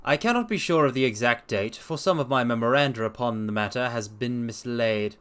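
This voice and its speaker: A man streaming a dramatic story reading, with theatrical, expressive delivery and casual asides. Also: medium pitch, fairly quick, clean tone. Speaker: streamer and reader.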